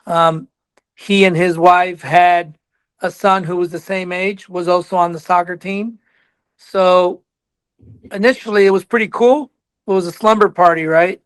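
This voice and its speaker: High-voiced, smooth-toned man, from Colombia. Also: fairly even intonation, measured pace, dry recording. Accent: Texas. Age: 40s. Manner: reflective, earnest storytelling. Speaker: college soccer coach, guest. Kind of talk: podcast interview.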